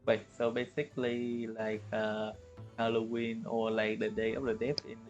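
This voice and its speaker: A man giving casual livestream commentary on a game, with halting, hesitant phrasing. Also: lowish voice, flat delivery, dull audio. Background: game music.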